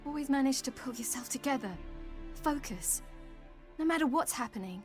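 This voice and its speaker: High-voiced, headstrong young warrior woman. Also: animated, moderate pace, soft background music. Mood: weary, confiding. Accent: British. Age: young adult. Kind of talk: video game cutscene acting.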